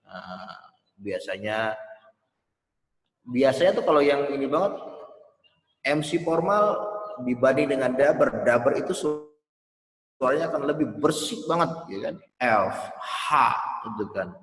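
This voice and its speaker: A man's voice: medium pitch, expressive, a little slow, pauses often. A man giving a casual webinar talk on emcee skills, rambling a little and sounding out tricky words as examples. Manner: loose, rambling explanations with spoken pronunciation demos. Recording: roomy with long reverb.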